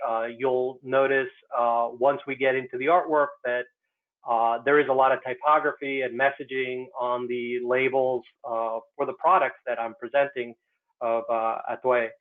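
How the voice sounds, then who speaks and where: Man, artist, halting speech, tinny phone-like audio, video call. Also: medium pitch, level tone. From United States. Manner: hesitant, many fillers. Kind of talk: casual online artist talk.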